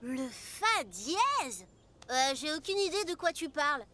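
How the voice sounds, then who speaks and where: Very high-pitched brash cartoon boy. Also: sing-song, slow pace, bright, forceful tone, slight background noise, full character voice. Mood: excited, scheming. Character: rebellious, excitable young boy who loves loud rock. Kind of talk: animated cartoon dubbing.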